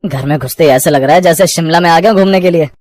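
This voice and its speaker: A man's voice: fairly high pitch, fast, a little mellow, slight background noise, natural voice. A man playing an earnest, supportive adult son and husband in a family story. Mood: pleasantly surprised.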